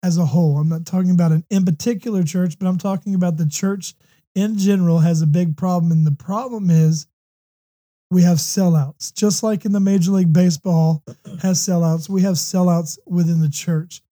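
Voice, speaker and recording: Hoarse man with a bright high voice. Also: fairly even intonation, moderate pace. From United States. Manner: earnest, many ums and restarts. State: hoarse with a runny nose. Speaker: podcast host. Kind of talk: faith talk show discussion.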